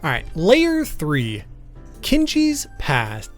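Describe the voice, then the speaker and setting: A man's voice: a little high, wildly swooping pitch, drawn-out words. A man narrating informal scripted video commentary in a casual way, with wry asides. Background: background music.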